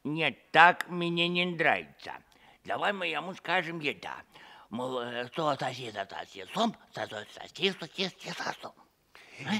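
A man playing a cheerful, teasing comic minister in a theatrical radio play, clowning with drawn-out, repetitive phrasing. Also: on the high side, big pitch swings, creaky, bright, forceful tone, heavily acted. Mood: scheming.

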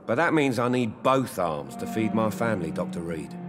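Working-class carpenter, lowish voice, plain-spoken, courteous, family man, video game, music behind. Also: sing-song, moderate pace. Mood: frank, resigned. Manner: blunt but courteous, down-to-earth. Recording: music under the dialogue.

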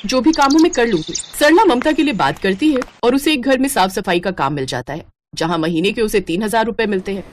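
A woman's voice: medium pitch, expressive, brisk, hardly any pauses, a little hiss or hum. A woman narrating a moral story in a scripted storybook style.

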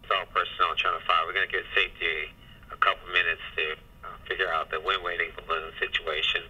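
A man's voice: low-pitched, level tone, fast, pushed and bright. A man acting as a launch director, speaking matter-of-fact procedural talk over a radio link. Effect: radio transmission. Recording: muffled, distant launch control radio loop.

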